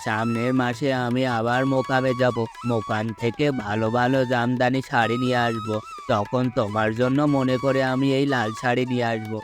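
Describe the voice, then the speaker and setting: A man's voice: mid-range voice, level tone, normal speed, natural voice. A man voicing a shrewd village sari seller in a cartoon who grumbles about unpaid debts and coaxes a customer. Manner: complaining, then coaxing a sale. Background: background music.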